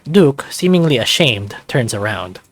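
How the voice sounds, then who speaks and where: Man narrating a visual novel, expressive range. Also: mid-range voice, unhurried, no room sound. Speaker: let's-play narrator. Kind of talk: reading a visual novel aloud, with casual reactions. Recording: music playing behind.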